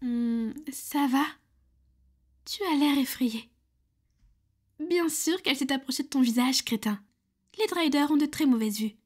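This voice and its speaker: A woman's voice: high voice, darkish tone. A woman voicing a blunt, exasperated but protective female Cupid matchmaker in an ASMR roleplay. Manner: blunt, chiding.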